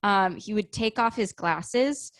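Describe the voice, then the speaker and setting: A woman's voice: mid-range voice, slow pace, fairly bright tone, no echo. American woman chatting casually, very dry sound. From Illinois, United States. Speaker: podcast guest, actor. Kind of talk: casual anecdotal chat.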